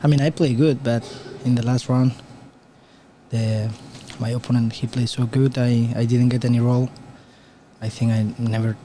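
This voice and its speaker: A young man from Spain with a Spanish accent, a guest player commenting on pool in halting, restarting English from a tournament booth. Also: medium pitch, level tone, dark tone, a little hiss or hum. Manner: halting non-native English with frequent restarts.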